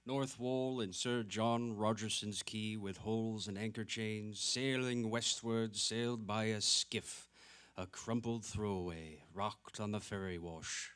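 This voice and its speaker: A man's voice: fairly low pitch, steady tone, a little slow, a bit bright, smooth. A man narrating literary prose in a dramatic stage reading.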